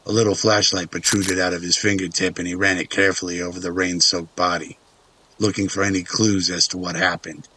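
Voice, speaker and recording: Deep, bright-edged male drama narrator. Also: flat delivery, fairly quick, a little hiss or hum, rough recording.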